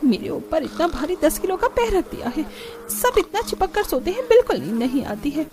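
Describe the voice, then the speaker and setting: A woman's voice: very high-pitched, big pitch swings, fairly quick, a little mellow, slight background noise, voice pushed up. A woman voicing a fed-up young village daughter-in-law in a cartoon story, grumbling in a whiny, sarcastic way. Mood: annoyed, whining.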